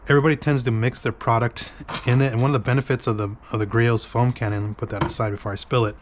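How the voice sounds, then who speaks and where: A man's voice: mid-range voice, level tone, very fast, a little hiss or hum. A man hosting a car detailing review in his garage, congested and nasal from a cold, rambling with many ums and restarts as he mixes product on his workbench. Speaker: car detailing channel host. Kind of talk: casual product review demo.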